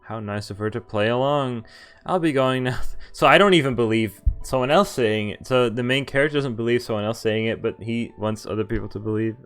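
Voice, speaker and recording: A man's voice: mid-range voice, fairly quick, fairly bright tone, clean tone, soft background music. A man streaming a visual novel, reading its dialogue and narration aloud and breaking off with casual, laughing asides. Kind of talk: game playthrough, reading lines aloud with commentary.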